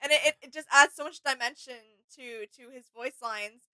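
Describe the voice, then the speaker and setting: A woman's voice: high voice, moderate pace, bright, forceful tone, dry recording, rough recording. A woman co-hosting a reaction video with casual, chatty commentary.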